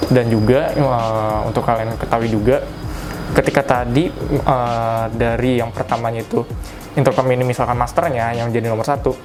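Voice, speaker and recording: Casting: young adult male, medium pitch, informal product-tutorial delivery with colloquial tags and self-corrections, over a clear music bed. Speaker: product reviewer giving a tutorial. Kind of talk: casual step-by-step tutorial.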